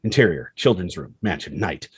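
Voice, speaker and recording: A man narrates the stage directions of a script. His voice is fairly low and husky. He reads the action dramatically, in short, punchy phrases. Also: animated, moderate pace, dry recording. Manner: punchy, present-tense action reading.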